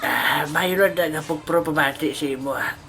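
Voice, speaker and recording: A woman doing a casual joking skit with shout-outs, full of exclaimed interjections. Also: sits low, moderate pace, soft background music, a faint crowd.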